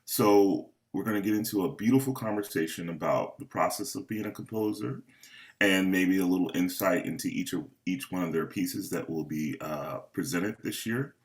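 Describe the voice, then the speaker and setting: A man hosting and moderating a panel of composers over a video call, speaking in an unhurried, earnest way. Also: low-pitched. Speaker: host and festival curator.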